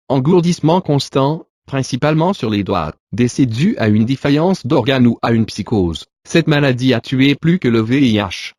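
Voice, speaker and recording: A man with a medium-pitched voice reads an official emergency alert. The delivery is formal and impersonal, in a very dry, close sound. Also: darkish tone, no echo. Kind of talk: scripted emergency broadcast announcement.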